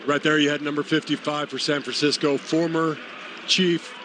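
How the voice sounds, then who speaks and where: A man's voice: on the high side, flat delivery, normal speed. An American man giving unscripted, conversational football analysis as a radio colour commentator. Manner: conversational, explanatory. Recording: live radio broadcast, faint noise.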